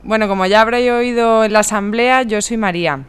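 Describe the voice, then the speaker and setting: A woman playing a calm, practical veteran housing activist in a radio drama, explaining things in an organised way. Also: fairly high pitch, fairly quick, clean tone, close to an everyday voice. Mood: calm, helpful. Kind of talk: amateur radio drama acting.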